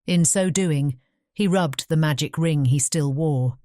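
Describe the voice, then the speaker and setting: Very low-pitched, mellow woman storyteller. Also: unhurried, dry recording, studio quality. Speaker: audiobook narrator. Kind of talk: scripted storybook narration.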